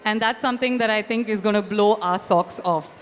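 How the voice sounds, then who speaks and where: A woman's voice: medium pitch, a little fast, slight background noise. A woman hosting a promotional interview, effusive and articulate. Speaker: event host, interviewer. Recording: reverberant hall sound.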